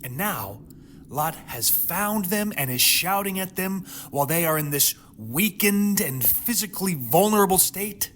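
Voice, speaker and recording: A man delivering a dramatic Bible teaching monologue, emphatic, with rhetorical questions and pauses for effect, over noticeable background noise. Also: high-pitched, animated, fairly bright tone. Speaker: host of a Bible teaching series.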